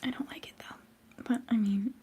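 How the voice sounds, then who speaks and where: A young woman whispering softly and chattily during a live ASMR stream, giggling now and then. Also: fairly high pitch, steady tone, moderate pace, slight background noise. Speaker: livestream host.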